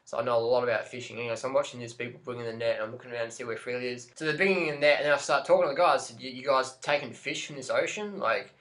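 Brief: Australian vlogger, 30s, animated rant, webcam in a small room. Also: male voice, mid-range voice, racing, hardly any pauses. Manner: brash, profane, animated storytelling. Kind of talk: ranting monologue to camera.

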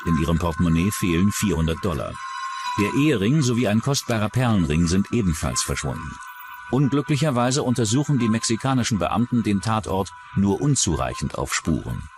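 A man narrates a true-crime documentary in scripted style. His voice sits fairly low and moves with lively intonation, with clearly audible music underneath. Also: a little fast.

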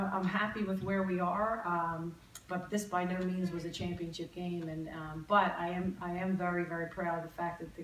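Halting middle-aged woman coach, low and roomy. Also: a little hiss or hum. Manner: halting, many fillers and repeated words. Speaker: head coach. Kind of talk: postgame press conference. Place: press room in an athletics building. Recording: roomy, distant press-room audio.

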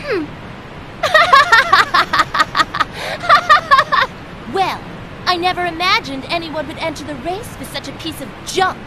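A woman's voice: very high-pitched, lively intonation, slow, background noise, heavily acted. A woman voicing a smug, mocking young female swallow mechanic for a video game cutscene, with game music behind. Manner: mocking, haughty.

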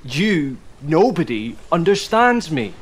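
A man's voice: fairly high pitch, sing-song, takes it slowly, husky, exaggerated voice. Moody young man, swinging intonation. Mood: sullen, wounded. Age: young adult. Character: moody, touchy young male student. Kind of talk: audio drama acting.

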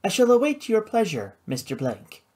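A woman's voice: medium pitch, wildly swooping pitch, normal speed, dark tone, pitched above the performer's own voice. A woman voicing an elegant, wealthy femme fatale with coolly formal, cooing delivery in an audiobook. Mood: cool, gracious. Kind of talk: audiobook character dialogue.

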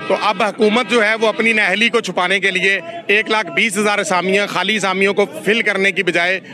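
A man's voice: high-pitched, steady tone, fairly quick. A man stating demands firmly at an outdoor street protest. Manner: firm, declarative. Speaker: union leader. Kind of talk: protest interview. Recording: loud crowd behind. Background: crowd.

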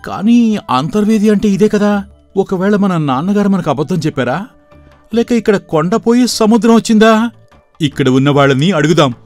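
A man voicing an earnest, excitable young village brother in an animated moral story, with emphatic delivery. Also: high-pitched, moderate pace. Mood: puzzled. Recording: voice over background music.

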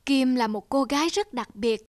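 A woman playing a gentle, high-voiced cartoon mother. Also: normal speed, no room sound, clean studio sound, natural voice. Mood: gentle, reassuring. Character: gentle, encouraging mother of a young pianist daughter. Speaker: mother character. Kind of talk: animated fairy-tale dubbing.

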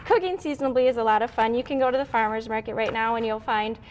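A young woman with a high, light voice, guesting on a morning show to demonstrate a home recipe. She talks casually and explains each step in a friendly way, with a lapel mic in a studio kitchen. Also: quick pace, a little hiss or hum. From Wisconsin.

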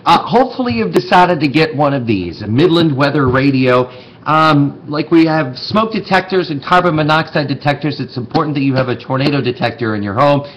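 A man's voice: on the high side, animated, brisk, a bit bright. A middle-aged American man giving an informal, step-by-step demonstration to camera in a conversational, explanatory way, as a TV meteorologist would. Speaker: TV meteorologist presenting a how-to. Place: indoor TV station office with desk and monitors. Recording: clearly noisy room sound, clicks.

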